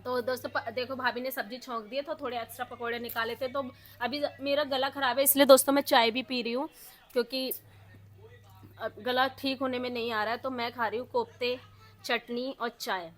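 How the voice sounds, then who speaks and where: A woman's voice: fairly high pitch, fairly even intonation, brisk, fairly bright tone. A woman talking casually and chattily to her viewers as she vlogs her daily home routine. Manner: chatty, addresses viewers as friends and family.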